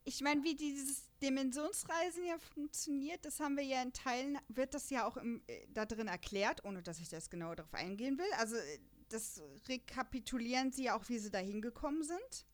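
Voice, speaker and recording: A woman's voice: sits high, fairly quick, hardly any pauses. A chatty, enthusiastic woman co-hosting a podcast, talking casually about an audio drama and often breaking into laughter. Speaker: podcast co-host.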